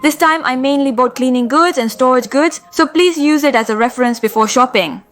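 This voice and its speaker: A woman with a slightly hoarse voice, calmly and methodically explaining household products in a review vlog. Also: high voice, a little fast, soft background music. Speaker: home organizing vlogger.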